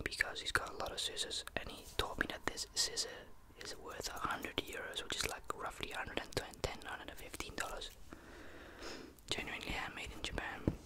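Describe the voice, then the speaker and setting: Whispering teen guy. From Netherlands. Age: teens. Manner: casual, rambling, with many fillers. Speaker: ASMR YouTuber. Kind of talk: whispered ASMR chat. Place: small bedroom with a sloped ceiling. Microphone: large studio mic close on the desk.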